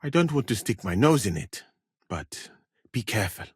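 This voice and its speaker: Courteous, deep-voiced scholar-physician. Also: male voice, very animated, husky, no room sound, studio quality, heavily acted. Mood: guarded, polite. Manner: measured, polite, formal, articulate. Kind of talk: video game dialogue acting.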